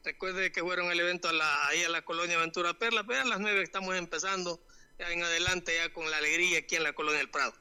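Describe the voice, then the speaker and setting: A man's voice: high-pitched, steady tone, fast, pushed and bright, smooth. A man, a teacher organizing a children's event, speaking earnestly with religious thanks. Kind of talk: radio interview.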